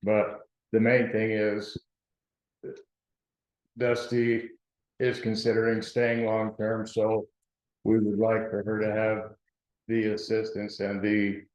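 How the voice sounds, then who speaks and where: A town board trustee, a soft-spoken man speaking slowly and flatly at a meeting. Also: fairly low pitch. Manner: soft-spoken, flat, unhurried. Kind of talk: informal meeting talk. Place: meeting room. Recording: echoey, dull room recording.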